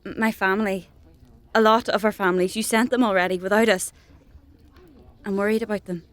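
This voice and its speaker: A meek village baker in a fantasy radio drama, played by a woman. Her medium-pitched voice is deferential and hesitant, choosing words with care. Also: fairly even intonation, a little fast, lightly acted. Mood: frightened. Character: timid, deferential refugee bakery shopkeeper woman. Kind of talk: dark fantasy audio drama acting.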